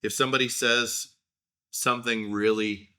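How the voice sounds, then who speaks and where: A man's voice: mid-range voice, flat delivery, normal speed, bright, forceful tone, clean tone. A man in his thirties with an Oklahoma accent, telling rambling, self-deprecating stories in a casual solo talk.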